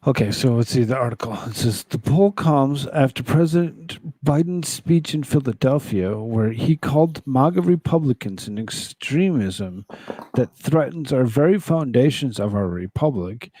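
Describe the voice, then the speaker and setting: A man presents casual political commentary in a soft-edged, mellow voice. He moves between reading articles and rambling conversational reactions. The recording is very dry, with little room sound. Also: mid-range voice, expressive, normal speed, no room sound, poor audio. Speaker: host of a political news show.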